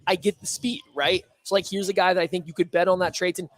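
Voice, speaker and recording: A man giving quick, jokey football takes in casual live chat. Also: high-pitched, steady tone, no room sound. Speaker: football analyst.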